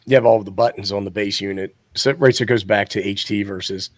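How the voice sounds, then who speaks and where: A man's voice: on the low side, steady tone, fast talker, no room sound, clean studio sound. A man chatting casually and explaining his take in an informal, conversational way. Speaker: podcast panelist and ham radio hobbyist. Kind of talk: casual chat.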